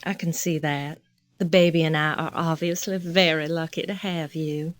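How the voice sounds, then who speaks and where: A woman's voice: low-pitched, steady tone, normal speed, no room sound, studio quality, close to an everyday voice. An actress playing a vulnerable, confused woman with amnesia in an audio drama. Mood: subdued, questioning.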